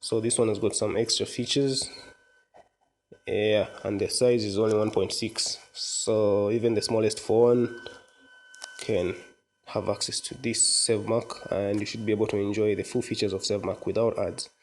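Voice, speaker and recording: A man with a fairly low voice presents a casual app walkthrough. He explains each step informally, like a presenter guiding viewers through a screen. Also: flat delivery, moderate pace. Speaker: tutorial presenter. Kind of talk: casual software walkthrough narration.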